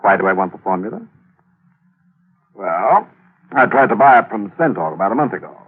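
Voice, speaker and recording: An actor playing a sly, smug villain with a leisurely, mock-friendly, taunting manner in a 1940s radio thriller. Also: male voice, on the low side, animated, normal speed, breathy edge. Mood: taunting, cold. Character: sly, smug male villain after a secret formula. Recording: muffled, tinny 1940s radio recording.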